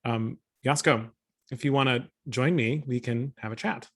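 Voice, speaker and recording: A man's voice: mid-range voice, moderate pace. Upbeat man hosting a video call. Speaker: host. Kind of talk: online interview chat. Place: home room, indoors.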